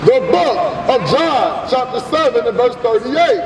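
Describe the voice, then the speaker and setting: Man, very slow high voice, reads scripture, busy street noise, distant echo. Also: lively intonation. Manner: slow, deliberate reading. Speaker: scripture reader. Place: city sidewalk outdoors. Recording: echoey outdoor street sound with crowd, from far off.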